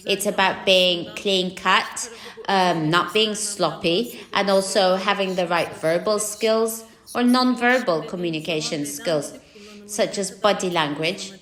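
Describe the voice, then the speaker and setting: A woman's voice: on the low side, unhurried, a bit bright, echoey room. Woman speaking on a panel. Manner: loose conversational, full of "like" and "kind of". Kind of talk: conference panel talk. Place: conference stage.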